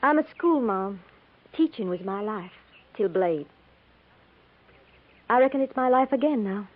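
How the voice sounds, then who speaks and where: An actress playing a shaken, plainspoken widowed frontier schoolteacher in a 1950s radio western, in a subdued, folksy manner. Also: female voice, medium pitch, lively intonation, a little slow. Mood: wistful. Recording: tinny, noisy 1950s radio recording.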